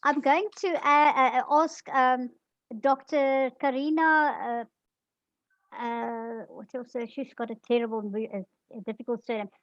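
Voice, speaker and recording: High pitched lady hosting a webinar, thank you so much vibe, dull dry call audio. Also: steady tone, unhurried, no echo. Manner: effusive, repeatedly thankful. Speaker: webinar host. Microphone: video call.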